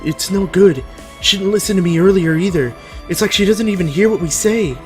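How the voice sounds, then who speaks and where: A man's voice: high voice, fairly quick, soft background music, voice pushed up, close to an everyday voice. A man voicing an anguished, confused young male story hero who speaks urgently, in questions and outbursts. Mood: distressed, confused.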